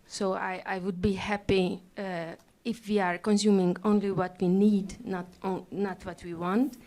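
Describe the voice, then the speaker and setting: A woman's voice: medium pitch, level tone, unhurried, a little mellow. A woman academic putting a question from the audience at a conference.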